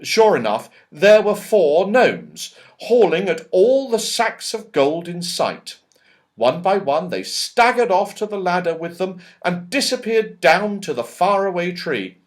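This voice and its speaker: A man reading a children's storybook aloud, expressive and lively, with voices for the characters. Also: high voice, unhurried. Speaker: audiobook reader.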